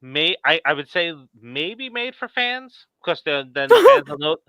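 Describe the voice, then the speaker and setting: A man's voice: on the high side, very animated, measured pace, sharp tone, clear, resonant tone, dry recording. A man hosting a casual live-stream chat, on a slightly dull-sounding mic. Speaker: show host.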